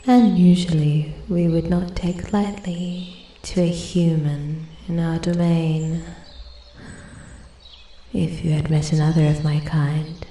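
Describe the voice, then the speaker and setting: A woman voicing an ancient female forest spirit in soft-spoken ASMR roleplay, breathy and often whispering, formal, intrigued and quietly menacing. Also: deep voice. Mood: calm, imperious. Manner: slow, deliberate, archly formal. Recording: echoey, with steady ambient forest noise. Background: forest ambience, birds and animal sounds.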